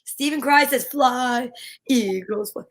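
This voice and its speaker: A woman's voice: high voice, takes it slowly, a crowd in the background. A woman co-hosting a casual comedy live stream, chatty and exuberant as she banters and greets viewers.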